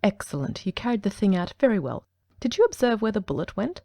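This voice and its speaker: A woman voicing a brilliant male detective who speaks in a brisk, precise, ironic way, in an audiobook reading. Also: mid-range voice, very animated, vocal fry, warm tone, no room sound, studio quality.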